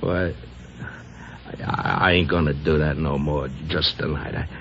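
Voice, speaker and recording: An actor playing a sweet, slow, down-and-out American boxer in an old radio drama, stammering and repeating himself in a childlike, pleading way. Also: male voice, very deep voice, fairly even intonation, fairly bright tone, full character voice. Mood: weary, insistent. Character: sweet, slow, punch-drunk down-and-out boxer, gentle and anxious. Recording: dull, noisy old radio recording.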